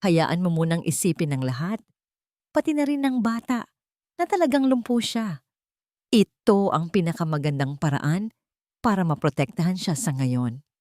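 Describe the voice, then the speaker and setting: A woman's voice: lowish voice, very animated, normal speed, long pauses, warm tone, no echo, clean studio sound. Woman voicing secretive commanding older Filipino father. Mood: guarded, firm.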